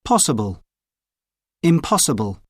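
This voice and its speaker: Man, slow and deliberate, dry recording, reads single vocabulary words as a pronunciation model. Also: medium pitch, sing-song, breathy edge, dry recording. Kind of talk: isolated word pairs read for learners.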